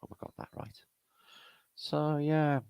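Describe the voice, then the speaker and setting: A man's voice: fairly high pitch, steady tone, slow, creaky, a little mellow, smooth, no room sound, studio quality. A middle-aged Welsh man hosting a casual livestream, rambling and swearing as he greets viewers in the chat. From Wales. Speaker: livestream host. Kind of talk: casual live-chat banter.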